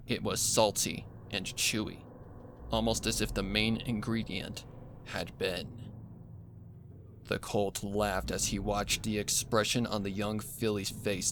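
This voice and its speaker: A man's voice: on the low side, level tone, takes it slowly, noisy background. A man reading a scripted horror story as narrator, with music playing behind him. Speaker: fan fiction narrator.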